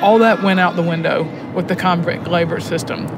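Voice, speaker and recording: A woman's voice: on the low side, fairly even intonation, brisk. Woman speaking over car noise. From Alabama, United States. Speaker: prison poetry teacher and program head. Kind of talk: candid, reflective interview talk while driving. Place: inside a moving car. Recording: clear vehicle and road noise.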